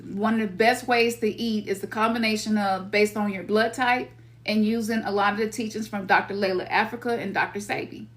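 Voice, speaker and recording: A woman's voice: mid-range voice, fairly even intonation, a little fast. A middle-aged woman from Memphis with a Memphis Southern accent, talking casually as a spiritual teacher, loose and slangy, sometimes acting out her own inner voice. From Memphis, Tennessee. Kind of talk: casual spiritual talk to listeners.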